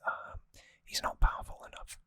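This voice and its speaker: An ASMR host speaks entirely in a breathy whisper, right up close to the microphone. The sound is dry and crisp, with bright, sibilant detail. The delivery is unhurried and informal, with small wry asides. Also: no room sound. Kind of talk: whispered ASMR, rambling trivia recap.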